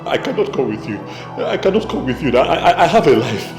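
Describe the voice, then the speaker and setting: A man's voice: on the high side, very animated, brisk, gravelly. An actor playing a haunted, self-justifying man in a film drama, stammering and repeating himself in agitation, with a Nigerian accent. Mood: pleading, insistent. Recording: echoey, reverberant hall sound with music.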